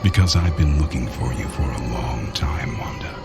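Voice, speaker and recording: An actor in a radio drama playing a sinister, smooth-talking stalker with a courtly, menacing and deliberate manner. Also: male voice, extremely low pitch, loud music behind the voice. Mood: quietly menacing.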